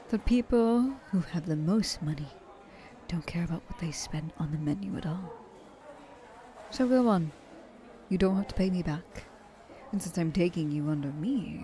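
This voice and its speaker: A woman plays an ancient, very rich vampire lady in an ASMR roleplay. Her voice is soft and mellow, with genteel, haughty courtesy. She speaks straight to the listener over some background noise. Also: fairly low pitch, lightly acted. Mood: generous, snobbish. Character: thousand-year-old wealthy female vampire, haughty yet courteous.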